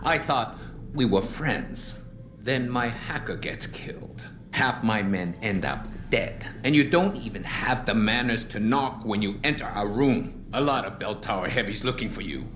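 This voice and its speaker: Low-voiced, menacing crime boss. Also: male voice, expressive, measured pace, fairly bright tone. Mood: mocking menace. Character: sardonic middle-aged male crime boss, menacing, world-weary. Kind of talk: video game dramatic acting. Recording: roomy echo, faint background noise.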